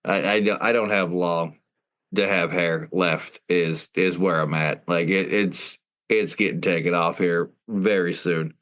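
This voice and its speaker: A man in his thirties hosting a podcast, loud and self-deprecating in casual chat. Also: deep voice, fairly even intonation, a little slow. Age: 30s. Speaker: podcast host.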